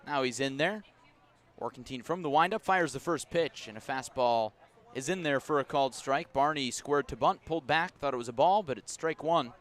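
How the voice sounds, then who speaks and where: A man doing live baseball play-by-play for radio, describing each detail in a measured way and punching up the action. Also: mid-range voice, a bit bright. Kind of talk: live sports commentary. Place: outdoor ballpark broadcast.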